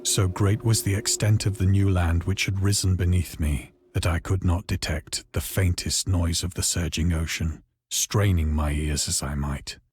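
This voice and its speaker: An actor playing a grave, haunted stranded man recounting a cosmic horror ordeal in formal, measured narration for a game. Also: low voice, steady tone, a little mellow, breathy edge, no echo. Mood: brooding. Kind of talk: scripted dramatic first-person horror narration. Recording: voice over prominent background music.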